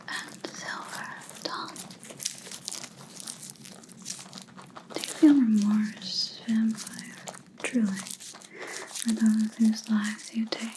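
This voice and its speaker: A woman playing a stern, menacing vampire hunter in an ASMR roleplay, speaking in a breathy whisper with deliberate, taunting pauses. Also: mid-range voice, dark tone, lightly acted, low-quality recording. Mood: disgusted, accusing. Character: stern female vampire hunter interrogating a captive, menacing, contemptuous.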